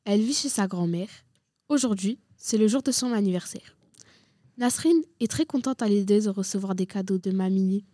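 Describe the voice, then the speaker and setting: A woman narrates a radio story from a script. Her voice is soft-edged and mellow, recorded in a dry space. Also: mid-range voice, moderate pace, dry recording.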